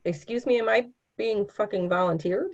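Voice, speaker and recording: A woman's voice: medium pitch, moderate pace, lightly acted. A woman acting in a table read as a feisty, foul-mouthed activist, blunt and sarcastic, on a muffled microphone. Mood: irritated.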